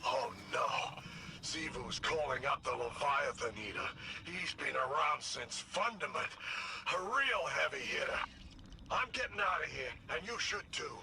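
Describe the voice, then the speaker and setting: Gravelly, animated, wisecracking little robot. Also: male voice, fairly high pitch, a little slow, full character voice, low-quality recording. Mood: alarmed. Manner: sarcastic, streetwise, animated. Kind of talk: game character dialogue. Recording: loud game noise, radio-like sound.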